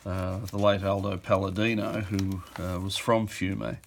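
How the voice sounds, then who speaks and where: Low, gravelly, unhurried middle-aged man. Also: level tone, slight background noise. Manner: offhand, halting, thinking aloud. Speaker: auction house presenter. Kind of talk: informal item walkthrough for an auction video. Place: indoors at a table.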